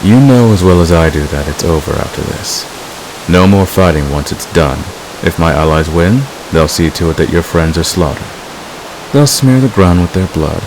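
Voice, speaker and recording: Over steady hissing, stream-like outdoor noise comes a man acting as a fantasy villain with an extremely low voice. He is smug and seductive, murmuring to a secret lover in unhurried, teasing ASMR roleplay. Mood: cool, detached. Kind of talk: romantic audio roleplay, intimate ASMR acting.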